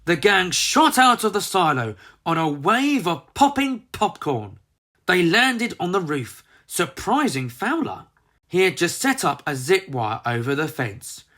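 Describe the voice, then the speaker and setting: Slow, animated storybook narrator. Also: mid-range voice. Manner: theatrical, emphatic. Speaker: storyteller narrating a children's book. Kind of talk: dramatised storybook narration with character voices.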